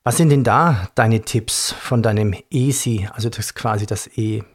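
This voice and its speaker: A man's voice: on the low side, moderate pace. A man hosting an interview, recapping points and asking questions in an informal, colloquial way. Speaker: interview host.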